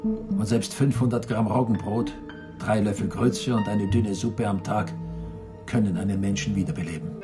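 An actor narrating prison camp life in a sober, measured, literary radio drama style. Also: male voice, on the low side, steady tone, close to an everyday voice. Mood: sober, grave. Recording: studio voice over guitar music.

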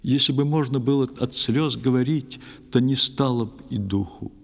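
An actor playing a sorrowful, pious old fisherman who tells his tale in expressive verse in an audio play. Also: mid-range voice, normal speed, gravelly. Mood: grieving, wondering. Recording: long studio reverb over music.

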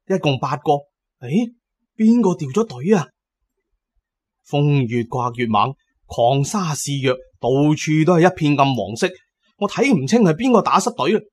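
A man's voice: a little high, darkish tone, husky. A man narrating a first-person adventure story as a dramatic audiobook storyteller.

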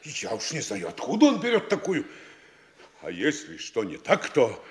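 Fawning, wildly swooping town governor. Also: male voice, medium pitch, wildly swooping pitch, moderate pace. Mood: nervous, deferential. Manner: obsequious, stammering, pleading. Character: fawning, panicky, scheming older male town governor. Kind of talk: theatrical classic comedy radio acting.

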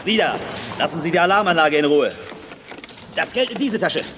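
An actor playing an aggressive masked bank robber who barks threatening commands in a German audio drama. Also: male voice, fairly high pitch, expressive, moderate pace, background noise, natural voice. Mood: menacing, impatient. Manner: shouting, commanding.

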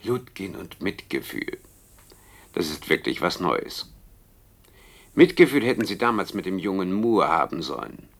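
Deep, sardonic disembodied brain. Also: male voice, sing-song, a little slow, pauses often, heavily acted. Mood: sardonic, accusing. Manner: dry, reproachful, sardonic. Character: bitter, defiant disembodied brain of a former scientist. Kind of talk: German radio play acting.

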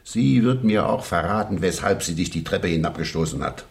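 An actor playing a shrewd, confident criminal investigator in a radio crime drama, with an assertive, deliberate manner. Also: male voice, deep voice, animated, vocal fry.

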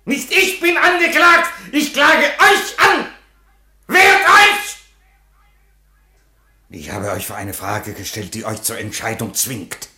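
A man's voice: very high voice, takes it slowly, pauses often, pushed and bright, roomy sound, exaggerated voice. A man playing a solemn, defiant, fatherly Roman emperor in a theatrical radio drama, declamatory in manner. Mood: thundering, furious. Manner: solemn, declamatory, from whispers to thunder.

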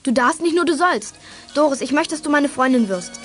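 A woman's voice: high voice, lively intonation, a little fast, faint music behind. An actress playing a sensible, confident teenage girl detective in a children's audio drama, direct and matter-of-fact. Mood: friendly.

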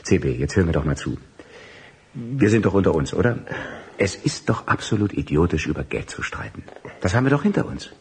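An actor playing a pushy, slangy show agent in a radio drama, cajoling like a salesman in a husky voice. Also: sits low, sing-song, a little fast, exaggerated voice. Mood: pleading, persuasive. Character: pushy, wheedling male show agent, slangy left-wing hustler.

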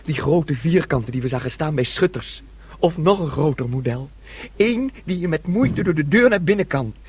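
Eager, husky young dreamer. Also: male voice, fairly high pitch, expressive, normal speed, a little mellow. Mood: boastful, excited. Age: young adult. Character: naive, excitable young dreamer, boyish and eager to please. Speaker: actor. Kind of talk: radio drama acting.

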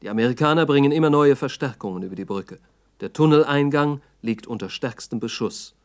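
A man's voice: medium pitch, lively intonation, a little fast. A man narrating a scripted radio documentary in a formal, factual reporting manner.

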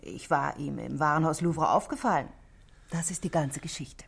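Low-pitched, guarded, self-possessed shop manageress. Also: female voice, moderate pace, creaky, slightly rough, heavily acted. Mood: guarded, defensive. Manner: precise, composed, defensive. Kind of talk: 1960s German radio drama acting.

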